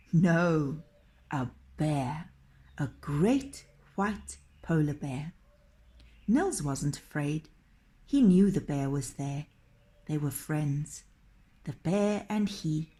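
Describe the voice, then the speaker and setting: A woman reading a children's tale as a storyteller, in an expressive, dramatic and deliberate manner. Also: deep voice.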